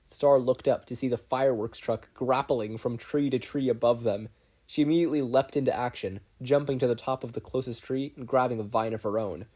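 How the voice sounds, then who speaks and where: A narrator reading a fan fiction story aloud in an expressive scripted style. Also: medium pitch, a little fast, breathy edge. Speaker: podfic narrator.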